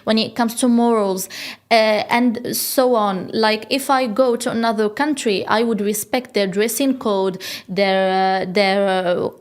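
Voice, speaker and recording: A woman's voice: medium pitch, fairly even intonation, measured pace. A young woman from Morocco, a model and influencer, answering interview questions casually with many fillers and restarts. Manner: chatty with frequent fillers and restarts, says "if you get me".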